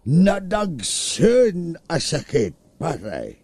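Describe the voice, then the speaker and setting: A man's voice: a little high, huge pitch swings, vocal fry, a little mellow, no echo, full character voice. A man with an Ilocano accent playing a lazy, whining, hard-drinking husband who feigns illness in a comedy audio drama. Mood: pitiful. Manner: drawn-out complaining, theatrical moaning.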